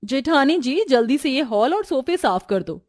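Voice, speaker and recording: A woman voicing a haughty, sharp-tongued young city daughter-in-law in a family story, snapping scornfully and mixing in English words. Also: high-pitched, sing-song, normal speed, no echo, studio quality, pitched above the performer's own voice. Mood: scornful, bossy. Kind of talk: Hindi family storybook voice acting.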